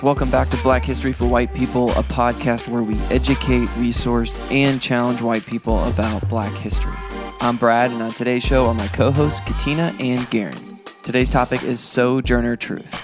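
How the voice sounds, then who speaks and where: A man's voice: mid-range voice, fairly even intonation, moderate pace. Guy hosting a podcast, mid voice, casual explainer talk, music under it. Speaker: podcast host.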